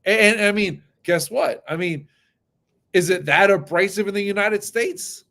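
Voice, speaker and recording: A man's voice: sits high, normal speed, creaky, fairly bright tone. Earnest man tagging lines with "right?". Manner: earnest, repeats himself, many "right?" tags. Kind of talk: casual discussion.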